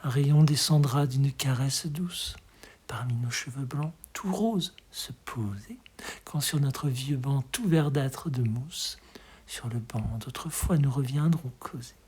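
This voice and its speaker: A hushed, breathy voice giving an expressive, tender recitation of poetry in a measured way. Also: medium pitch. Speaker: poetry reader.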